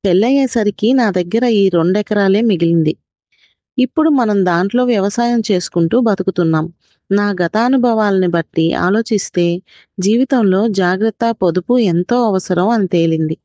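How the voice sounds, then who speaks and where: Woman reading as mellow, thrifty village husband. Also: mid-range voice, no room sound, dull audio, natural voice. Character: thrifty, observant village husband, wise and reflective.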